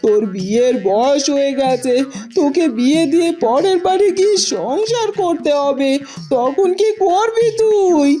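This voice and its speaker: A woman's voice: high-pitched, lively intonation, unhurried, warm tone. A woman voicing an exasperated village mother in a Bengali cartoon, fussing and admonishing as she speaks. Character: exasperated, scolding village mother of a grown daughter. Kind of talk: Bengali cartoon fairy-tale voice acting.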